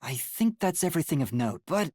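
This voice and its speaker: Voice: medium pitch, very animated, a little fast, a little mellow, no echo, studio quality. An actor voicing an earnest, anxious young male detective in a video game, with a hesitant, boyish, easily flustered manner. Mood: thoughtful, explaining. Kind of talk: English video game voice acting.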